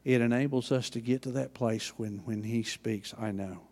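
A man with an Oklahoma accent preaching a sermon in a church, with a folksy manner, dropping low and quiet and then rising loud. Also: fairly even intonation, normal speed, long reverb tail. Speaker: pastor.

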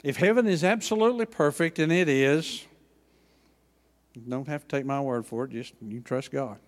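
A man with an Oklahoma accent preaching a sermon in a church, with a folksy manner, dropping low and quiet and then rising loud. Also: normal speed, big-room sound. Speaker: pastor.

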